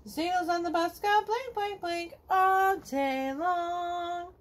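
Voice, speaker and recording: Slow, high-voiced woman singing a picture book. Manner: slow sing-song, singing the verses. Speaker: storyteller reading a children's picture book. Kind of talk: read-aloud sung picture book for kids. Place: home living room, indoors.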